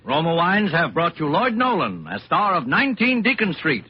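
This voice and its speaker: High-pitched American radio announcer over loud music. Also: male voice, sing-song, normal speed, highs cut off. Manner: formal, dramatic presentation.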